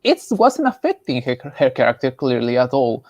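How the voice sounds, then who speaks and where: A man from Peru with a Peruvian Spanish accent, giving casual opinions as a panelist in an emphatic, halting way, often repeating phrases. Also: mid-range voice, huge pitch swings, darkish tone, no echo. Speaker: panelist, artist.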